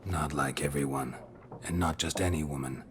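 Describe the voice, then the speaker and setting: An actor playing a gruff, world-weary witcher in a video game, speaking in a terse, deadpan, gravelly way. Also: rumbling low, moderate pace, a crowd in the background. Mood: guarded.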